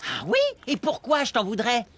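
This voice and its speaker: A performer voicing an excitable, easily exasperated young male cartoon creature in a French dub, with dramatic delivery. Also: mid-range voice, moderate pace, breathy edge. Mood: indignant. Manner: dramatic, expressive.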